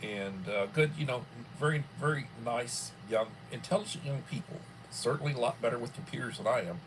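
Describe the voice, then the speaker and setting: A man in his early sixties hosting a casual livestream from home, rambling and filling pauses often. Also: medium pitch, background noise. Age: 60s. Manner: rambling, with many fillers. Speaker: livestream host.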